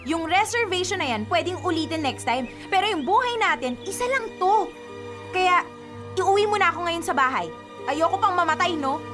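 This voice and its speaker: Young female lead for radio drama: high-pitched, stubborn, expressive girlfriend with faint music underneath. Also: normal speed. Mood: earnest, insistent. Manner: insistent, expressive. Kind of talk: Filipino radio drama acting.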